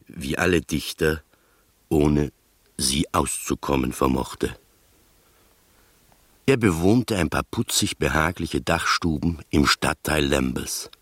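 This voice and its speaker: A man playing the reflective narrator of an eccentric mystery tale in a radio drama, measured and deliberate. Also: a bass voice, lively intonation, no room sound, heavily acted. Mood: reflective, intrigued.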